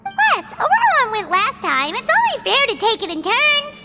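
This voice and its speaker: An actress voicing a tiny, excitable, childlike fairy companion with a squeaky voice in a video game. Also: female voice, moderate pace, over loud music, exaggerated voice. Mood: curious.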